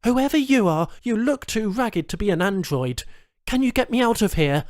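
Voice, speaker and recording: A man's voice: sits high, animated, normal speed, a little mellow, no room sound, studio quality, voice pushed up. A man voicing a blunt, sardonic captive woman journalist in an audiobook. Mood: wary, urgent. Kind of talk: audiobook character dialogue.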